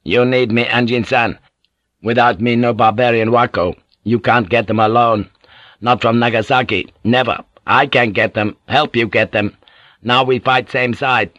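A man voicing a scheming warlord who speaks halting, clipped English. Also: medium pitch, steady tone, dry recording, highs cut off, lightly acted. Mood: persuasive. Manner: clipped broken English, insistent, softly persuasive.

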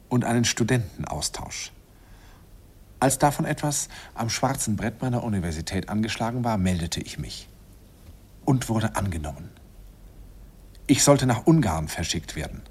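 A man's voice: sits low, a little mellow. An actor playing a middle-aged man who wistfully recalls his youth, telling it in the first person in an old radio play. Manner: reflective storytelling. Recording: old radio recording, clear background noise.

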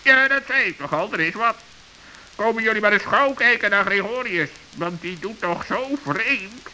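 A man's voice: sits high, lively intonation, moderate pace, bright, forceful tone, voice pushed up, full character voice, low-quality recording. A man voicing a stern, sensible forest bird in a children's radio play, questioning and matter-of-fact. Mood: serious. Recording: hissy old radio recording.

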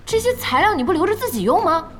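High-voiced, earnest young gamer woman. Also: big pitch swings, fast, soft background music. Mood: helpful. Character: young woman, earnest supportive gaming guild partner. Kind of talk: Chinese audio drama acting.

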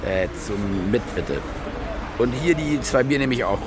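An actor playing a harried, scheming German reporter in a radio drama, speaking casually and haltingly with a German accent. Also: male voice, mid-range voice, animated, music in the background, lightly acted. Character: harried, slangy war-video faker, a scheming male reporter. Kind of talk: naturalistic radio drama dialogue.

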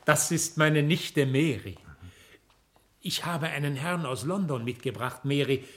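Troubled respectable father for radio play: rough-edged, fairly high voice, emphatic, formal. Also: measured pace, a bit bright. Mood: polite, weary. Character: distraught, formal older gentleman banker, father and uncle. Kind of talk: German radio play acting.

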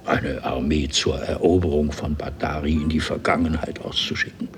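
An actor playing a haughty, eloquent nobleman from an ancient civilization, telling his story theatrically and deliberately in a 1970s German radio play. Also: extremely low pitch, fairly even intonation, a little hiss or hum. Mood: indignant, agitated.

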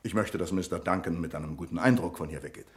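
An actor playing a self-important, fussy and suspicious bank branch manager in a German radio play, with a pompous, officious manner. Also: male voice, low voice, fast talker, lightly acted. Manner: pompous, officious, delegating with relish.

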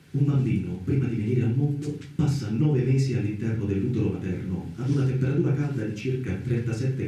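Echoey far-off radio theatre, guy acting a solemn thinker monologue, super mellow dark voice, slow dramatic pauses. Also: fairly low pitch, a little hiss or hum, lightly acted. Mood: grave, confiding. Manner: solemn, deliberate, rhetorical pauses. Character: narrator-thinker musing on the universe, then addressing Freud.

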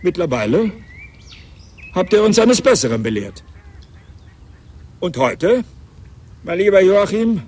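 Husky, ceremonious older patriarch. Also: male voice, high-pitched, very animated, a little mellow, heavily acted. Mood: festive, proud. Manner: ceremonious, declamatory, dramatic pauses. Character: older patriarch, law firm head, stately party speech-maker. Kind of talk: German radio crime drama acting.

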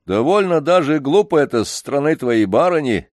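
A man's voice: on the high side, animated, a little fast, dry recording, studio quality, pitched above the performer's own voice, natural voice. A man reading an audiobook, voicing a proud, stubborn old grandfather who scolds and refuses. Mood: gruff. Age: over 60 (figure).

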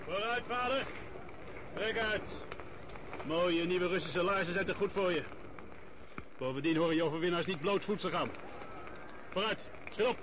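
Guy doing a bossy mocking soldier in a radio drama, really high voice, loud rumble behind. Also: normal speed, long pauses, close to an everyday voice. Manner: barking, curt, commanding. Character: brusque occupying soldier, bullying and mocking. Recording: very noisy radio play, rumbling background.

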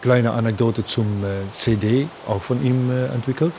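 A man telling anecdotes haltingly in an interview, with noisy surroundings. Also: medium pitch, fairly even intonation. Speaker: interviewed experimental musician. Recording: clearly noisy ambient background.

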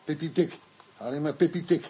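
An actor playing a timid, evasive small African man under questioning in a radio drama, halting and stammering in broken foreigner's Dutch. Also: on the high side, mellow, breathy edge, dull audio. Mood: defensive.

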